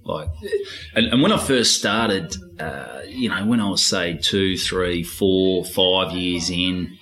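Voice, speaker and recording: A man's voice: low-pitched, slow, a bit bright, roomy sound. A middle-aged Australian man, a stand-up comedian, chatting casually in an interview and rambling with a self-mocking manner. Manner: rambling, loses track, acts out voices.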